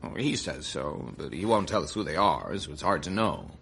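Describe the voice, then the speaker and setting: Deep-voiced, measured intelligence officer. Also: male voice, lively intonation, gravelly, fairly bright tone, breathy edge, full character voice. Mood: dry. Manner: understated, hesitant, wry. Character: measured, dry, deferential American intelligence officer. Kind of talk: radio drama acting.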